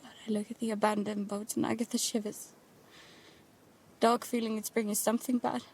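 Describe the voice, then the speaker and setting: A woman's voice: a little high, flat delivery, brisk, darkish tone, slight background noise, natural voice. A woman playing an anxious, stubborn teenage daughter in an audio drama, speaking in a tense, intimate way. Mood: uneasy, hushed. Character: anxious, stubborn teenage daughter narrating life aboard a boat. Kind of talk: audio drama acting with first-person narration.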